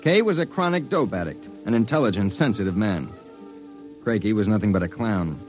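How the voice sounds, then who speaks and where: An actor playing a cool, probing undercover investigator in an old radio drama, terse, matter-of-fact and wry. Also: male voice, on the low side, expressive, brisk, faint music behind, natural voice. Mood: wry narration. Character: cool, probing male undercover investigator narrating his case. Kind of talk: old-time radio detective narration and dialogue.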